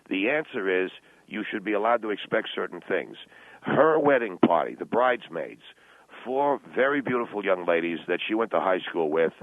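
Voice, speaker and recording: Over a tinny phone line comes a low-pitched, middle-aged businessman father, acted by a man for radio comedy. He is money-minded and self-justifying. He stumbles, repeats himself and defends his position stubbornly. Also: no room sound. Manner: halting, repetitive, defensive. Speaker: phone-in guest. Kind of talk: improvised talk radio comedy call.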